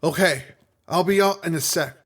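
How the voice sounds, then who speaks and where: A man's voice: fairly high pitch, normal speed, lightly acted. A man playing an anxious, caring space crewman in a sci-fi audio drama, speaking in an earnest, worried way. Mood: casual.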